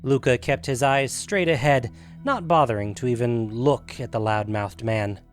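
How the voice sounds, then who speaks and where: A man with a medium-pitched voice narrates a scripted fantasy story for a podcast. Faint music plays behind his reading. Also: normal speed.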